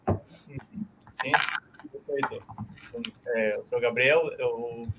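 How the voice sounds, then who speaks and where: A man's voice: medium pitch, steady tone, drawn-out words, heavy background noise. A man from Venezuela with a Venezuelan Spanish accent, a packaging research lead, introducing himself enthusiastically in a casual panel talk, often starting with "ah". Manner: enthusiastic, self-introducing with filler "ah". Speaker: packaging R&D leader, guest.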